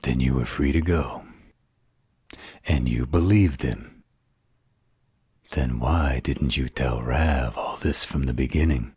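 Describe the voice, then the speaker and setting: A man playing a sardonic, flirtatious vampire interrogator in an audio drama, with a slow, sarcastic delivery. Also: darkish tone, dry recording, exaggerated voice. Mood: sardonic, probing. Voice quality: very deep, gravelly, husky. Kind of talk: dramatic audio roleplay acting.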